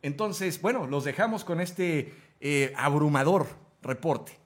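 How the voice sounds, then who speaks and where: A man doing a parody news broadcast, loud and full of fillers and hesitations. His voice sits a little high, with clear noise in the background. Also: expressive, unhurried. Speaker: comedy podcast co-host. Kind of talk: mock news anchoring.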